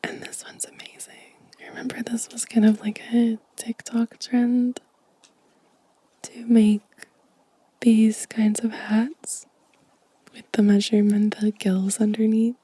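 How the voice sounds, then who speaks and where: A woman speaking in a soft, breathy whisper, gently and intimately, as in an ASMR show and tell close to the microphone. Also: fairly high pitch, little pitch movement, slow. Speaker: host of an ASMR channel.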